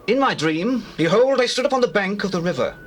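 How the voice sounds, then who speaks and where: A man's voice: high voice, animated, fairly quick, soft background music. An actor with a British accent playing a troubled, commanding king of Egypt in a declamatory scripture drama. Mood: troubled, intense. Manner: declamatory, theatrical. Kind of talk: dramatized scripture acting in archaic English. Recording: noisy old cassette tape.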